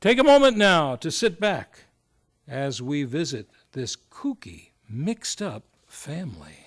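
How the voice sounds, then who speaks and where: Animated, high-pitched man announcing radio theater. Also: measured pace. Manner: grandiose, showy announcing. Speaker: host and narrator of a radio play. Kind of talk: theatrical scripted narration.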